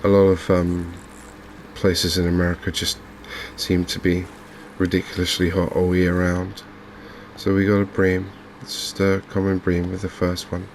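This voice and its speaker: An Englishman with a low voice gives softly spoken ASMR commentary on a game. His delivery is extremely even, gravelly at the edges, unhurried and a little hesitant. Faint noise sits behind him. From England. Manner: unhurried, hesitant, gently musing. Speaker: gaming video host. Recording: faint background noise from open windows and fans.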